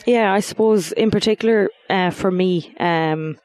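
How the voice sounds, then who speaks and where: A woman's voice: mid-range voice, a little slow, darkish tone. A woman footballer answering interview questions hesitantly and modestly, with many restarts.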